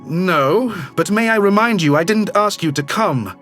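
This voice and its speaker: Alien governor, male actor: high register, shifty, formal, self-interested. Sci-fi audio drama. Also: animated, fairly bright tone, soft background music. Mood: cool, formal. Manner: formal, measured, curt. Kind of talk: science fiction audio drama acting.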